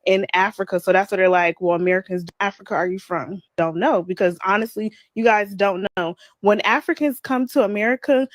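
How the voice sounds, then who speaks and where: A woman's voice: mid-range voice, fairly quick, few pauses, dry recording. A woman in a casual group debate, rambling and repeating herself with slang. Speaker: discussion guest in a played clip.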